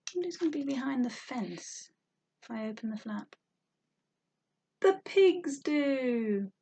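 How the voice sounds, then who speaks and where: A woman reading a picture book aloud to young children, animated and sing-song, drawing out words and asking questions. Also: sits high, measured pace, a little hiss or hum. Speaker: storytime reader for young children.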